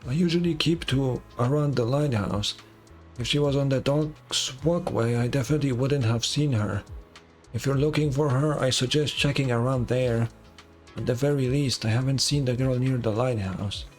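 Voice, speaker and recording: A man's voice: mid-range voice, normal speed, darkish tone, faint music behind, close to an everyday voice. A man voicing a stern, scolding old lighthouse keeper from a video game. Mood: suspicious, advising. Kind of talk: reading game dialogue aloud.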